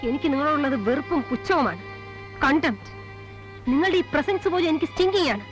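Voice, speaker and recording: An actress playing a proud, sharp-tongued young woman in a film drama, scolding and disdainful, with an Indian Malayali accent. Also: high voice, animated, fast, music in the background. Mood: defiant contempt. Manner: scolding, disdainful, English words mixed in. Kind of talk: heated film drama dialogue.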